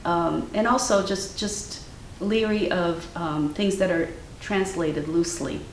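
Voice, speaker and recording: Older woman, thoughtful and measured. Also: on the low side, fairly even intonation. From Cleveland, Ohio, US. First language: Ukrainian. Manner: thoughtful, measured, many fillers and restarts. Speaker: guest artist. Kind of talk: casual interview conversation. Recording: echoey room from far off, faint noise.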